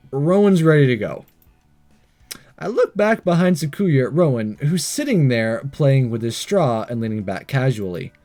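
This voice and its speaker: A man's voice: medium pitch, a little mellow. A man doing a let's play, reading a visual novel aloud with casual, sarcastic asides and the odd stumble over words. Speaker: let's player.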